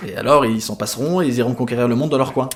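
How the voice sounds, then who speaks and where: Actor as a fast-talking, impatient clone technician man. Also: mid-range voice, slight background noise, lightly acted. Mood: resigned. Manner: didactic, impatient, foul-mouthed. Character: irritable, know-it-all male clone technician, resigned mentor. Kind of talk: scripted comic audio drama acting.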